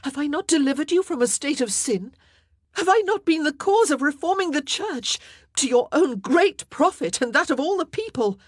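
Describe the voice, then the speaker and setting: A woman's voice: a little high, lively intonation, measured pace, breathy edge, dry recording, studio quality, pitched above the performer's own voice. A woman voicing a proud, anxious young queen who pleads and argues back sharply. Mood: defiant. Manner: pleading, then defiant and sharp.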